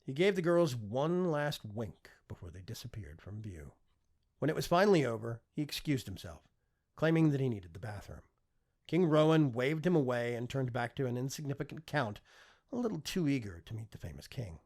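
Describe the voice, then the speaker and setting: A man narrating a fiction audiobook with expressive, scripted storytelling delivery. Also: medium pitch, normal speed.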